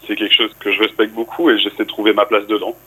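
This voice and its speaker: A man's voice: lowish voice, quick pace, slightly rough, low-quality recording. A young man from France, a rapper guest in a radio interview, talking casually with fillers. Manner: relaxed, casual, speaks with fillers.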